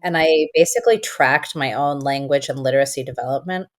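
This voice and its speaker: A woman educator, a native Farsi speaker, talking through her ideas in a conversational interview, thoughtful and emphatic, slowing to stress key words. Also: extremely low pitch. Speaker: guest educator and author.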